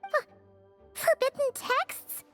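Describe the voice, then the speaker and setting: A woman voicing a small, excitable, childlike fairy companion in a video game, inquisitive and naive. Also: takes it slowly, soft background music. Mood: startled.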